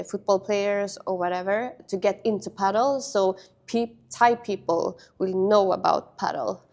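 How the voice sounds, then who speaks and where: A young woman raised in Sweden answers interview questions in a loose, halting way, with many fillers and restarts. Her voice sits at an ordinary middle pitch for a woman. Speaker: interview guest, padel player. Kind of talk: casual interview.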